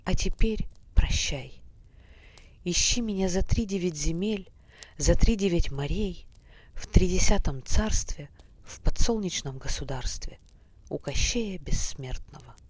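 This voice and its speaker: A man's voice: high-pitched, no room sound, voice pushed up. Man voicing a sorrowful young princess. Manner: pleading, drawn-out farewell.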